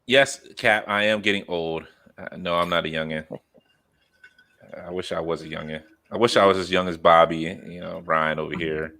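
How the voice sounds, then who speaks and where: A man's voice: sits low, fairly even intonation, normal speed, sharp tone. A man in his thirties hosting a podcast, chatting casually and telling jokes with quoted asides. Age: 30s. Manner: joking, storytelling with quoted asides. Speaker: podcast host. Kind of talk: casual chat.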